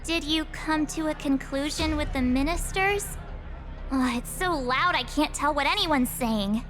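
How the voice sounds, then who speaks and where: A woman playing an expressive, loyal and curious teenage girl adventurer in a video game. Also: sits high, unhurried. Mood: overwhelmed. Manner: exclamatory and expressive. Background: game music.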